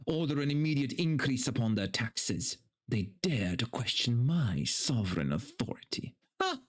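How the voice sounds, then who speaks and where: A man's voice: medium pitch, wildly swooping pitch, moderate pace, breathy edge. A man voicing a haughty, cruel nobleman in a dramatic fantasy story reading, with pompous declamation. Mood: haughty, threatening. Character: haughty, cruel nobleman ruler, contemptuous of peasants.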